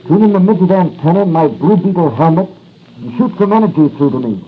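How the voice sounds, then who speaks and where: An actor playing a plucky masked crime-fighter in 1940s radio style, urgent and commanding. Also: male voice, fairly high pitch, lively intonation, fast talker, natural voice. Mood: brisk command. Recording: muffled, noisy 1940s radio broadcast.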